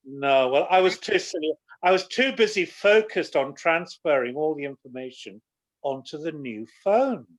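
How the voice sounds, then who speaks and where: A woman's voice: sits low, animated, normal speed. A woman chatting casually on a video call in an apologetic, self-deprecating way. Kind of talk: casual chat.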